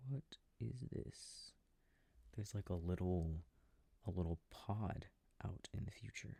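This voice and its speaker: A man playing an affectionate, easily confused boyfriend in a soft sleep-aid roleplay, muttering casually and hesitantly to himself over a video game, close to the microphone. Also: deep voice, slow pace, vocal fry, husky, dry recording, full character voice. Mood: puzzled, absorbed. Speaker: audio roleplay performer. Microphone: close microphone, dry. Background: controller clicking sounds.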